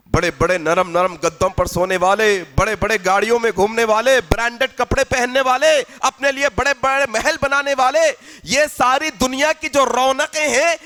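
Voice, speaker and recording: A male preacher with a very high, bright and forceful voice delivers a fervent sermon. He repeats phrases for emphasis and stretches them almost into a chant. His delivery moves between loud proclamation and hushed exclamation. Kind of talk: impassioned Urdu religious sermon.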